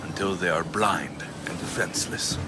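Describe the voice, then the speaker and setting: Guy playing a young greek warrior captain, kinda deep, serious n clipped, video game drama, music really loud. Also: moderate pace, close to an everyday voice. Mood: grim, determined. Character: battle-hardened young Greek warrior and ship captain, determined.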